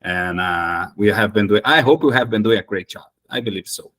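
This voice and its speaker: A man's voice: on the low side, a little fast, a bit bright. A man in his thirties with a Brazilian accent, hosting a casual conversational interview and often rephrasing himself. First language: Portuguese. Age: 30s. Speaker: host.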